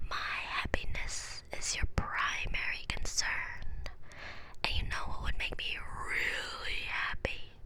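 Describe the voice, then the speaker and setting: A woman doing a whispering vampire mistress. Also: pushed and bright. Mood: whispered, seductive. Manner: slow, domineering, often whispered close to the ear. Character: commanding possessive female vampire mistress. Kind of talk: binaural ASMR audio roleplay. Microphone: binaural microphone.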